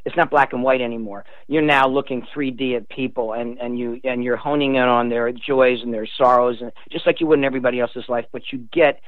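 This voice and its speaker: A man's voice: mid-range voice, steady tone, a little fast, hardly any pauses, no room sound. An older man speaking as an interview guest, explaining things in an earnest, emphatic way. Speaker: guest author. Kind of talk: explanatory radio interview talk. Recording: tinny narrow phone line.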